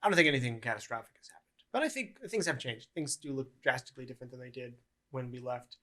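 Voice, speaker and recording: Bright-voiced man in his early twenties. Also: mid-range voice, quick pace. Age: early 20s. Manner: relaxed, rambling with many ums. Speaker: podcast co-host. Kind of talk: casual podcast chat.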